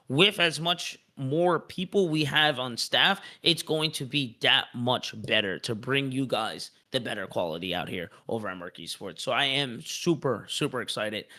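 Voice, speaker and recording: A man's voice: mid-range voice, sharp tone. A man hosting a casual esports live stream, upbeat and often repeating his words for emphasis. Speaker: esports league host.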